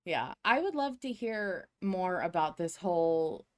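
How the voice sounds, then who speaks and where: Slightly low-voiced woman, animated podcast co-host. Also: a little slow. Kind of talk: casual fan discussion podcast.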